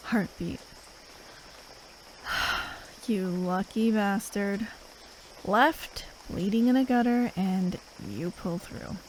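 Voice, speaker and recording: Sardonic female fantasy assassin woman actor, gruff and unhurried, addressing the listener in ASMR roleplay, faint cricket ambience behind. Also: mid-range voice, a little hiss or hum, poor audio. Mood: wry relief. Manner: sarcastic, drawling, sweary, with sighs.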